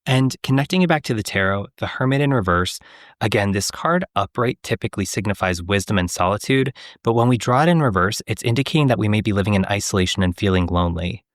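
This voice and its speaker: A male podcast host with a low voice, posing questions and reading out a measured segment. The recording is dry and close. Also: no room sound. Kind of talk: interview questions and scripted segment on tarot.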